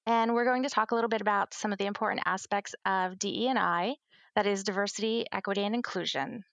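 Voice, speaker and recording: A woman hosting a podcast speaks in a gravelly voice with a dry, close sound. She reads a prepared, earnest introduction to her guest. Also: mid-range voice, fast talker, fairly bright tone, dry recording, highs cut off. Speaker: podcast host. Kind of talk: podcast interview.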